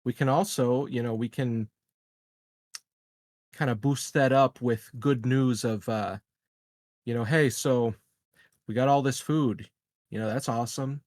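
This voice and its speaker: A man's voice: medium pitch, steady tone, unhurried, pauses often, darkish tone, no room sound. A man playing a tabletop game, talking casually and hesitantly as he thinks a plan out aloud. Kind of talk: casual in-game planning talk.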